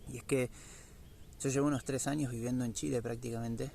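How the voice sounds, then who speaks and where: Argentine male, low-pitched with heavy vocal fry, reflective spiritual vlogger, halting delivery, faint background noise. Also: steady tone, warm tone.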